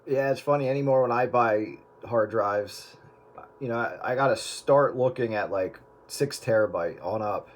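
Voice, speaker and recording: A man's voice: mid-range voice, normal speed, noisy background. Man explaining audio tech. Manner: explanatory, technical, speaks as an audio engineer. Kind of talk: casual chat.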